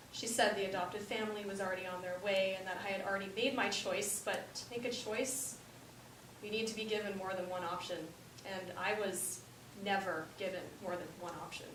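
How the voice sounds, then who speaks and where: A woman's voice: mid-range voice, lightly acted. A woman playing a regretful middle-aged birth mother in an earnest, emotional stage monologue. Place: indoor stage, mic far off. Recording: roomy, distant stage recording with hiss.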